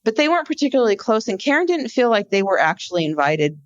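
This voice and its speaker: A woman co-hosting a podcast, explaining a case conversationally in an informal, halting storytelling way. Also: on the high side, very animated, dry recording, lo-fi sound. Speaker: podcast co-host. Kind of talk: conversational true-crime case discussion.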